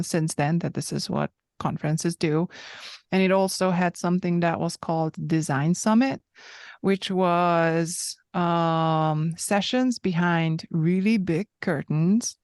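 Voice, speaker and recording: A woman in her thirties with a low, smooth voice, recounting her story as an interview guest. She speaks slowly and reflectively, pausing often. The sound is dry and close. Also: a little mellow, dry recording. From Hungary. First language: Hungarian. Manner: thoughtful and reflective, with frequent pauses.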